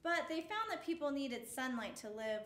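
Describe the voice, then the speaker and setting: A woman reading a picture book aloud as a storyteller for children, with measured, expressive phrasing. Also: high voice, roomy sound.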